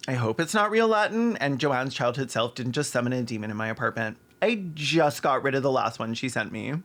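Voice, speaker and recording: A man's voice: medium pitch, lively intonation, a little fast, a bit bright, faint music behind. A man with a Canadian accent delivering scripted comedic commentary in a sardonic, theatrical way. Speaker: video essay host.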